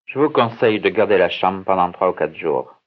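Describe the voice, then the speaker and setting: A man playing a calm doctor examining a patient in a scripted language-course dialogue, speaking in a measured, clear way, each line said twice. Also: low voice. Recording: tinny, narrow-band old course recording, slightly distant.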